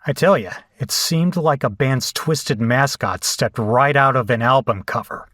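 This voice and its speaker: A man's voice: mid-range voice, a little slow, fairly bright tone, no room sound, clean studio sound. A man playing a brash, rattled young rocker in a horror audio drama, colloquial, incredulous and foul-mouthed. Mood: shaken narration. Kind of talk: horror audio drama acting and first-person narration.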